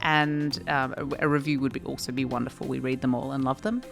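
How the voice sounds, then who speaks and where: A woman with a low voice co-hosts a podcast in a bubbly, joking way, laughing often. Faint music plays behind her. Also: flat delivery, a little fast, creaky. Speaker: podcast co-host. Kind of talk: casual podcast chat.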